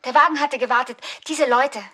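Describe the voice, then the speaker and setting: An actress playing a cool, self-possessed woman in a German radio crime drama, speaking deliberately and matter-of-factly, sometimes almost whispering. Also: high voice, steady tone, sharp tone, slightly rough, no room sound, studio quality, distant mic, full character voice. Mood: defensive.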